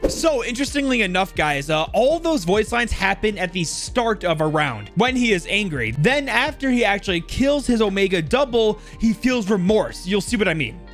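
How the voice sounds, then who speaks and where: A man's voice: high-pitched, sing-song, normal speed, few pauses, a bit bright, faint music behind. A man narrating casual game lore commentary for a video.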